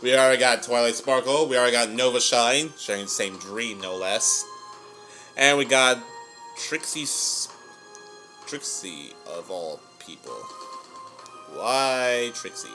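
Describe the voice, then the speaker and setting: A man's voice: mid-range voice, unhurried. A man giving casual, playful commentary as a reaction host, chatty and given to exclaiming. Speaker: reaction host and amateur voice actor. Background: music from the audio drama.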